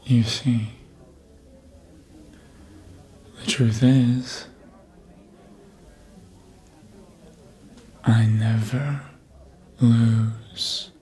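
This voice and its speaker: An actor playing a seductive, manipulative vampire in a hushed, breathy ASMR roleplay whisper, teasing and deliberate, close to the microphone. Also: little pitch movement. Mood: smug, intimate. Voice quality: breathy whisper and low murmur. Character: seductive, manipulative elder vampire toying with a fledgling.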